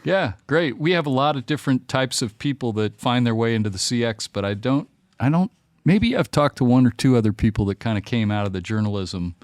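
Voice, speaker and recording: A man's voice: mid-range voice, expressive, a little fast, dry recording, studio quality. A man in his fifties hosting a podcast, reading a polished intro and then chatting with his guest in a friendly way. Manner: friendly, polished host delivery. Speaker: podcast host. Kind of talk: scripted intro, then interview chat.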